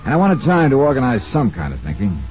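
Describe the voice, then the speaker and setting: An American man playing a brisk, wry freelance insurance investigator in a 1950s radio serial, clipped and hard-boiled. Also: mid-range voice, huge pitch swings, a little mellow. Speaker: star of a radio detective serial. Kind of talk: 1950s radio acting, first-person narration. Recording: muffled 1950s radio recording, faint music.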